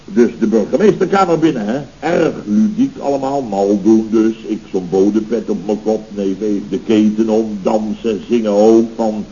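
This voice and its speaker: An actor playing a pompous, touchy middle-aged boss of a building firm, blustering theatrically in an old radio comedy. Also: male voice, lowish voice, normal speed, hardly any pauses, dark tone, close to an everyday voice. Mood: excited, boastful. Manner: blustering, theatrical, muddles words and sayings. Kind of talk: 1970s Dutch radio comedy acting. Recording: muffled, hissy old radio recording.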